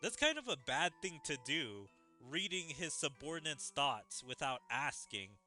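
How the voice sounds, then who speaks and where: A man's voice: fairly high pitch, very animated, unhurried, bright, forceful tone. A man streaming a game, casually thinking aloud about its story. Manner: musing, thinking aloud in questions. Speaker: gaming streamer. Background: mallet-percussion game music.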